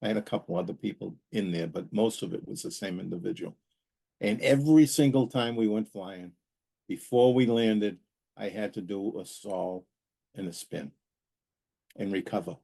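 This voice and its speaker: A man with a low-pitched, dark and relaxed voice, hosting an aviation talk. He explains in a casual, conversational way, pausing often and repeating words as he goes. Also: vocal fry. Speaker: aviation safety podcast host and pilot.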